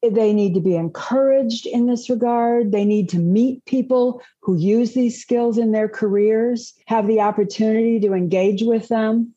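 Husky, mellow woman on a dull line. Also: a little high, measured pace, few pauses. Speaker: applied linguistics specialist, panelist. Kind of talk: panel discussion answers. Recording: dull lo-fi video call audio.

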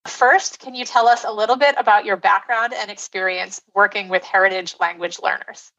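A woman's voice: mid-range voice, fairly even intonation, moderate pace, fairly bright tone. Polite woman moderator, muffled audio. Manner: formal, polite, scripted. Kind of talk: reading introductions, asking questions.

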